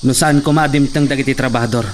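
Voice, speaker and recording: A man's voice: medium pitch, steady tone, fast, natural voice. A man with an Ilocano accent acting as a fearful, defensive worker in a radio drama. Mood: fearful, agitated. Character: fearful, defensive male worker threatened with death. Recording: distant mic, faint noise, crickets behind.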